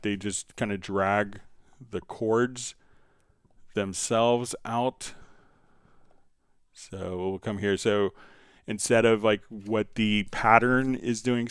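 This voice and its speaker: A man hosting a casual, easygoing software tutorial, explaining steps and answering viewer questions. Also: fairly low pitch, fairly even intonation, takes it slowly, smooth, dry recording, studio quality. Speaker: live stream host, software instructor.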